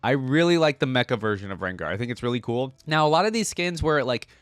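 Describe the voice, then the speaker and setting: Man with lively, swooping casual delivery. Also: medium pitch, fast, smooth, no room sound. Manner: informal, thinking aloud with frequent "like" and "giving". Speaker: video host reacting to game content. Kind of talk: casual reaction commentary.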